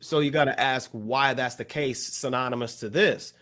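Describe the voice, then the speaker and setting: Male podcast co-host, mid pitch, reflective, loose delivery full of fillers. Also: moderate pace, fairly bright tone. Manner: thoughtful, many fillers and restarts. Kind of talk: casual discussion.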